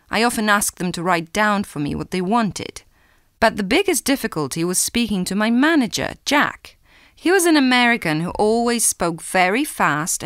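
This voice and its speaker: A woman giving a clear, measured scripted reading for a language listening exam. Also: medium pitch, a bit bright, no room sound, studio quality. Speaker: exam recording reader.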